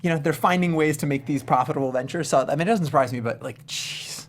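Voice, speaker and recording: A man's voice: a little high, fast. A man on a review panel talking casually and critically, often interrupting himself as he argues his point. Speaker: show panelist. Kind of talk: casual critical film discussion.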